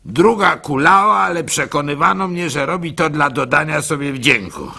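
Husky, animated village fool. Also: male voice, on the high side, heavily acted. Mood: exasperated. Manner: theatrical, halting, with stammered repeats, sighs and chuckles. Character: gullible, simple-hearted village fool recounting his life. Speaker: actor narrating a radio play. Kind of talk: dramatic first-person monologue in a radio play.

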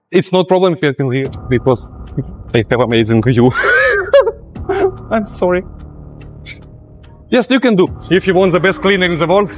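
A man's voice: high voice, wildly swooping pitch, unhurried, pauses often. A young man posing as a cleaner in a gym, apologizing and giggling constantly in broken English. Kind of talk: hidden-camera prank. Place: large indoor gym. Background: music.

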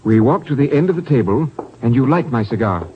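A man's voice: medium pitch, expressive, fairly quick, close to an everyday voice. An actor playing a genteel, fussy, fatherly middle-aged man in a 1950s radio drama. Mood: careful, nervous. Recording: dull, hissy old radio recording.

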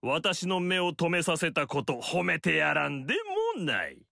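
An actor playing a pompous, vain noble warlord in a video game, speaking haughtily. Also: male voice, fairly high pitch, normal speed, vocal fry, pushed and bright, dry recording. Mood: boastful. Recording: dry studio recording.

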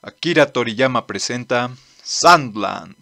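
A man's voice: medium pitch, lively intonation, slow, gravelly, pushed and bright, dry recording. A man reading scripted narration for a manga dub as its narrator.

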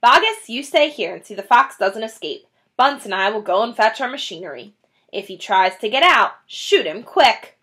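A woman voicing a lean, bossy male farmer villain in a storybook, commanding and deliberate. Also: on the high side, very animated, a bit bright.

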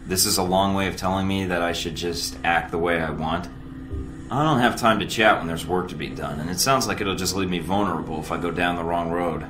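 An actor playing a brash, cocky young American secret-agent recruit in a video game, with a flippant manner. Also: male voice, sits low, a little fast, lightly acted. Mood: flippant, impatient. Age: young adult. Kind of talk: video game cutscene dialogue. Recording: echoey, music underneath.

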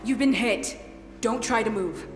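A woman's voice: on the high side, a little slow, natural voice. A woman playing a blunt, foul-mouthed, commanding special agent on a mission in a video game cutscene. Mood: demanding, wary. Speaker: field operative. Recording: echoey hall reverb, music behind.

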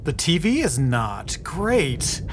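A man's voice: a little high, slow, background noise, exaggerated voice. A man playing an enthusiastic, good-natured father with eager delivery in a family audio drama. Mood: doubtful. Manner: eager, animated. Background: music.

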